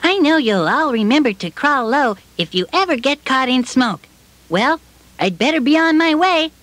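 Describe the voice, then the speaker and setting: A man's voice: very high voice, very animated, moderate pace, a bit bright, a little hiss or hum, exaggerated voice. A man voicing a friendly, eager smoke-sniffing puppet who talks cheerfully to children in a scripted show.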